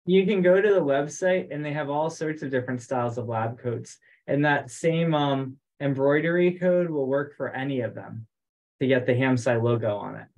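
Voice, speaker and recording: A man with an average-pitched voice speaks informally on a group conference call. He sounds like the one organizing things, and he often repeats a phrase before he finishes it. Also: moderate pace, darkish tone, rough recording. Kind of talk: casual meeting chat. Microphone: online conference call.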